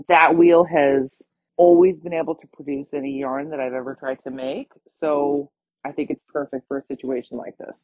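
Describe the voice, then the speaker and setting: A tired woman with a hoarse, scratchy voice, hosting her own podcast in a chatty, upbeat way. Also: low-pitched, no echo, phone-quality sound. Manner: chatty, upbeat, with small laughs. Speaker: podcast host. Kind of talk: casual solo podcast talk.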